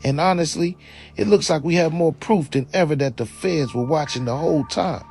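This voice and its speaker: A man's voice: fairly high pitch, normal speed, gravelly, darkish tone. A man giving scripted true-crime commentary as a video narrator, in an opinionated, slangy manner with some profanity. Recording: voice-over with faint music behind.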